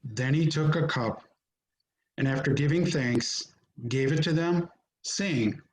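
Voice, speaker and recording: A man's voice: fairly high pitch, slow, poor audio. A man narrating scripture from a script, recorded at home over a video call.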